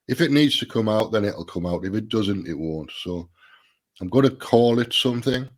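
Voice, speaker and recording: A middle-aged man with a stuffy nose, sounding a bit nasal and lower than usual. He hosts a solo live stream in a relaxed, rambling way, restarting his sentences as he thinks aloud. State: stuffy nose from a sinus problem.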